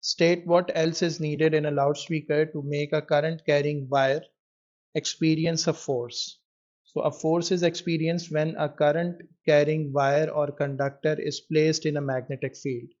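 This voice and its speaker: A man tutoring in physics, explaining an exam question in a measured way with pauses as he writes. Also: on the high side, level tone, a little mellow. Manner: measured, pausing while writing out answers. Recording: dull lo-fi microphone sound.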